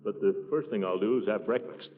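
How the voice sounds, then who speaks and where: An actor playing a pompous, easily flustered middle-aged uncle who blusters and sputters in a 1940s radio comedy. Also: male voice, lowish voice, brisk, lightly acted. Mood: indignant, flustered. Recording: tinny 1940s radio broadcast, audience.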